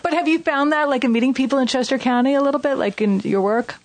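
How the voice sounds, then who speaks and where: A woman co-hosting a chat show asks lively questions and teases her guest. Her voice sits a little high. The sound is dry and close. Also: fast, no room sound. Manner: playful, teasing, laughs easily. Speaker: co-host. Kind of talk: casual chat.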